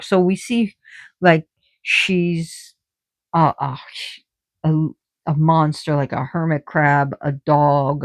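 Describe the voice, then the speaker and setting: A woman giving a casual spiritual talk about myth and oracle cards, halting often and restarting as she searches for words. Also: low-pitched, no echo. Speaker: oracle card reader.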